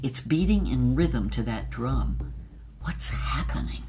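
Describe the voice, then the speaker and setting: A woman's voice: rumbling low, animated, slow pace, mellow, a little hiss or hum, heavily acted. An actress playing a woman adventurer who recounts her past in a theatrical, emphatic audio drama manner. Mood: awed, dramatic.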